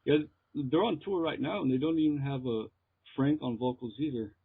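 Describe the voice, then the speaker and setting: A man's voice: medium pitch, moderate pace, vocal fry, breathy edge. American man on a tinny phone line. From Texas, United States. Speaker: interviewed metal musician. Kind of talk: casual chat in an interview. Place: remote call.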